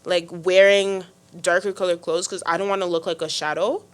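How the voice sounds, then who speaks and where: A teenage girl with a deep voice, hosting a youth podcast in casual talk, full of fillers and restarts. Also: steady tone, moderate pace, a little hiss or hum. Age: teens. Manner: chatty, many fillers and restarts. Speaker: podcast host. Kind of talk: casual discussion.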